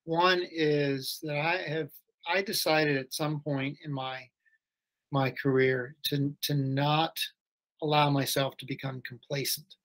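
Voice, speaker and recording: Measured middle-aged man, slightly high voice. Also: flat delivery, fairly bright tone. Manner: thoughtful, measured, restarts phrases. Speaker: head of school, podcast guest. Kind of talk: casual interview chat. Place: home or office, video call.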